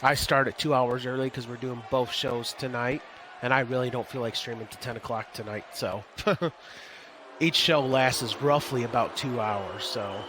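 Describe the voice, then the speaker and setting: Slightly hoarse man streaming, noisy background. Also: mid-range voice, steady tone, a little slow. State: hoarse, getting over a cold. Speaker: streamer hosting a wrestling game league. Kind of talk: casual livestream commentary.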